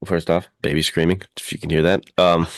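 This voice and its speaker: Very deep-voiced man, dry sound. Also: level tone, fairly quick, gravelly, no room sound. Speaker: podcast host. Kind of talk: casual film discussion.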